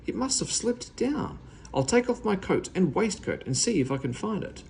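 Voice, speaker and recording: A man's voice: high voice, sing-song, moderate pace, vocal fry, darkish tone, a little hiss or hum, voice pushed up, exaggerated voice. A man voicing a muddled, anxious, childish nephew from a children's story, flustered and protesting.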